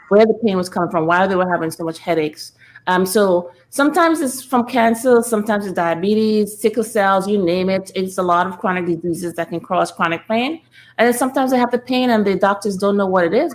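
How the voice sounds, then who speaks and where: A middle-aged woman, explaining earnestly and conversationally as an interview guest. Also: mid-range voice. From Trinidad. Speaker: podcast guest, speaker and nonprofit founder.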